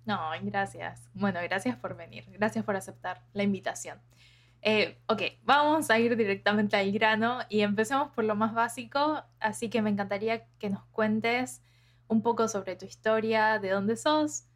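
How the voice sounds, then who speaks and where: Female podcast host, mid-pitched voice, effusive, conversational interviewing. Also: normal speed.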